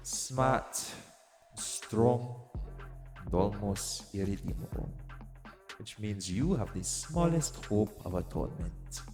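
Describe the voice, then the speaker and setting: A man playing a cruel, vengeful god in an audio roleplay, grand, deliberate and menacing as he addresses a mortal. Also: on the low side, animated. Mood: coaxing, measured. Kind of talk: theatrical audio roleplay monologue. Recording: long cavernous reverb. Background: music.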